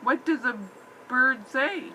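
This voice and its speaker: Woman, bright voice, encouraging, simple phrases, casual puppet play, faint noise, home tablet recording. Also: high-pitched, slow, poor audio. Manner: encouraging, asks many simple questions. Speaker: mother playing puppets with her son. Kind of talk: casual improvised puppet show chat. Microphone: iPad microphone.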